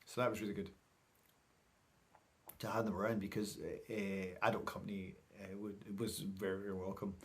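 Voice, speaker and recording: Low-voiced man vlogging casually. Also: flat delivery, normal speed. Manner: chatty, rambling, informal. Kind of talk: casual vlog recap to camera.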